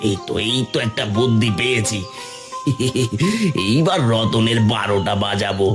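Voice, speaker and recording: A man's voice: fairly low pitch, expressive, measured pace. A man voicing a scornful, scheming food-stall owner in a cartoon. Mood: sly. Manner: hawking, sneering. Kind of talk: cartoon voice acting. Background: music.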